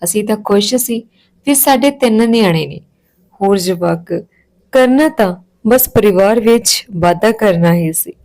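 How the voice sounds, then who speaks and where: An emotional mother in an audio storybook, acted out by a woman narrator. She speaks tenderly and earnestly to her child, loving and a little helpless, in a mid-pitched voice. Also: unhurried, a little mellow, natural voice. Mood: helpless, wistful. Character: tearful, loving Punjabi mother explaining herself to daughter.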